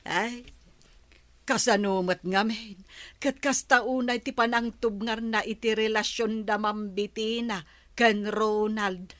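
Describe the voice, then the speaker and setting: A woman acting a slow, nosy housemaid. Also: fairly high pitch, steady tone, a bit bright, no room sound. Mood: gossipy, teasing. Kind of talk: Ilocano radio drama acting.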